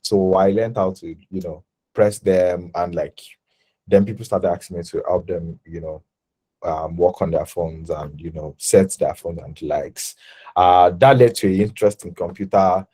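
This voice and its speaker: Deep-voiced young Nigerian man, halting. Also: steady tone, warm tone, breathy edge. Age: 20s. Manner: halting, filler-heavy, self-correcting. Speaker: designer guest. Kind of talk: casual interview talk.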